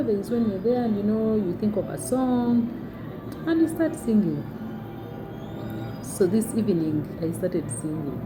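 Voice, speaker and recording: A young adult woman with a big, deep voice tells a personal story to her phone camera in a chatty way with many fillers. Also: poor audio. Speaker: vlogger. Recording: noticeable background noise.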